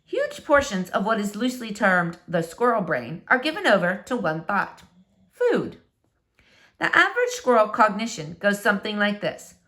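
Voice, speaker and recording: Animated woman reading a children's story. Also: mid-range voice, moderate pace. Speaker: storybook reader. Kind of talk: expressive read-aloud narration for children.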